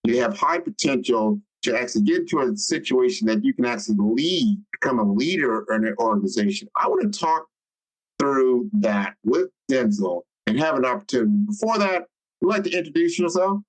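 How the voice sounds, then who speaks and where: A man's voice: mid-range voice, brisk, rough recording. Conversational male podcast host. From Omaha, Nebraska. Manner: conversational, animated questions. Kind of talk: podcast interview.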